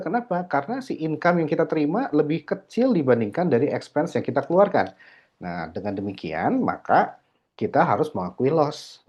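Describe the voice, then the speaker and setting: A man's voice: mid-range voice, darkish tone, slightly rough. A man lecturing, walking students through a worked accounting problem on screen, peppering his talk with "nah" and "ya". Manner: explanatory, filler "nah" and "ya", code-switching English terms. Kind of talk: screen-recorded lecture walkthrough. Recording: dull lo-fi mic.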